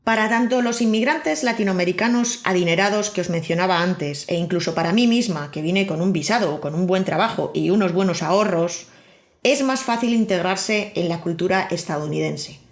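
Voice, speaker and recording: A woman's voice: fairly low pitch, brisk. A woman with an accent from Spain, hosting a podcast and reading a scripted monologue with wry, personal storytelling. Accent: Spain Spanish. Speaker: podcast host. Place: living in Miami.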